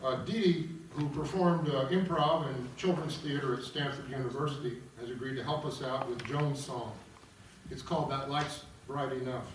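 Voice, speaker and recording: A man's voice: mid-range voice, fairly even intonation, moderate pace, slight background noise. A man narrating a scripted stage piece, reading out scenes and stage directions. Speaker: narrator and reader at an opera table read. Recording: roomy echo, distant mic, loud guitar. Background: loud plucked guitar music.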